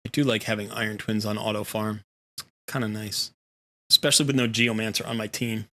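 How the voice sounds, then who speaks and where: A man's voice: on the low side, little pitch movement, fairly quick, a bit bright. A man hosting a gaming livestream, chatting casually in a jokey, talkative way. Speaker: livestream host.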